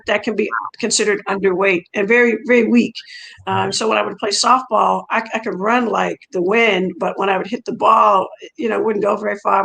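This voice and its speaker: A woman's voice: medium pitch, vocal fry, fairly bright tone, low-quality recording. A middle-aged woman whose first language is French, a track coach telling her story conversationally as an interview guest in a chatty, rambling way that repeats phrases for emphasis.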